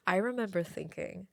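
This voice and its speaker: A woman's voice: medium pitch, creaky, dry recording, clean studio sound. A woman in her late twenties chatting casually as a podcast host, reflective and repeating phrases for emphasis. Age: late 20s. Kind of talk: casual solo podcast chat. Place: at home near an airport.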